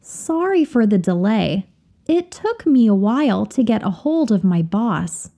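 A woman reading example sentences slowly and carefully, repeating each phrase for learners to copy. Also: mid-range voice, big pitch swings, gravelly, warm tone. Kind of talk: slow, clear drill reading of example phrases.

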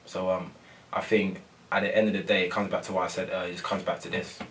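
A young man and footballer, soft-spoken and full of ums and repeats, answering post-match questions in a press room. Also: low voice, level tone, quick pace, distant mic, a little hiss or hum. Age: young adult. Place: press room before a sponsor board.

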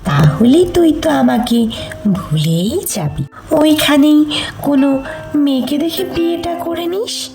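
A woman plays a young village sweetheart in a cartoon tale. She draws her words out slowly with a creaky edge. Her manner is reproachful, teasing and secretive, with music behind her. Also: high voice, expressive, warm tone, full character voice. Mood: sulky. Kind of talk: dramatised storytelling for a cartoon.

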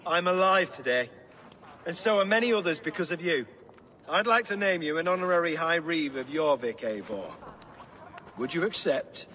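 An actor playing a blunt, commanding, hot-tempered medieval lord in a video game. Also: male voice, on the high side, animated, moderate pace, sharp tone, in a large hall, a little hiss or hum. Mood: gruff, formal. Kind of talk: dramatic game cutscene acting.

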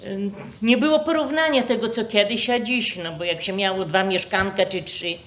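A woman's voice: medium pitch, normal speed, a bit bright. An older woman, casually reminiscing. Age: 60s or older.

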